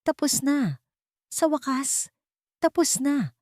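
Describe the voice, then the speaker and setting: A woman's voice: high-pitched, big pitch swings, slow pace, darkish tone, no room sound, clean studio sound, pitched above the performer's own voice, exaggerated voice. A woman with a Filipino accent playing a smug, greedy, falsely tender husband in an audio drama. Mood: relieved triumph.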